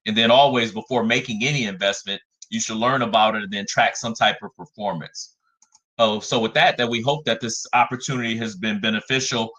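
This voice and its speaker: A man in his forties, a financial advisor giving a webinar talk in a measured way, often starting with "again". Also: lowish voice, fairly even intonation, sharp tone, rough recording. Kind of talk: webinar presentation.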